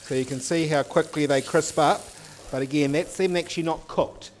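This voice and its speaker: A man's voice: on the high side, fairly even intonation, normal speed, slight background noise. A man, a cooking teacher explaining each step as he cooks in a teaching kitchen. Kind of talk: cooking demonstration. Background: oil sizzling in a pan.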